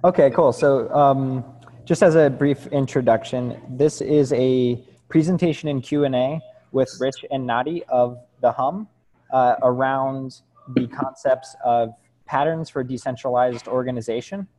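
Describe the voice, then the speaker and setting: A man introducing a call as director of communications, speaking hesitantly with frequent pauses. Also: medium pitch, mellow, poor audio. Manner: hesitant, many ums. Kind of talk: meeting introduction. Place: video call.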